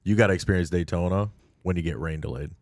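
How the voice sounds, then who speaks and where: A man with a very low voice chats in a laid-back, joking way on a podcast. The sound is dry and close, with no room around it. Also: fast talker, no echo. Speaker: podcast co-host. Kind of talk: casual chat.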